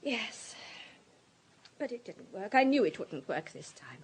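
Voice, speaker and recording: An actress playing a fragile, distraught countess of thirty-nine in a radio drama, halting, emotional and apologetic. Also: female voice, mid-range voice, sing-song, breathy edge, full character voice. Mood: anxious, confessing. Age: 30s.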